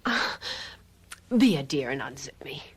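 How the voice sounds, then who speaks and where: An actress playing a vain, excitable middle-aged society lady and mother, genteel and gushing, in an old-time radio drama. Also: rumbling low, measured pace, slightly rough, exaggerated voice.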